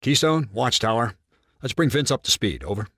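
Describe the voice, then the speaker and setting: A military intelligence commander in a game cutscene, brisk and authoritative. His delivery is clipped yet varied in pitch, recorded close and dry. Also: big pitch swings, fairly bright tone, no echo. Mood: grave, businesslike. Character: composed, brisk military intelligence commander running covert operations. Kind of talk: video game cutscene dialogue, mission briefing.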